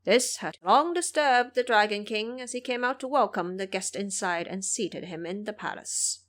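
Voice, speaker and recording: A woman's voice: medium pitch, normal speed, fairly bright tone. A woman narrator reading a scripted story in a dramatic storytelling style.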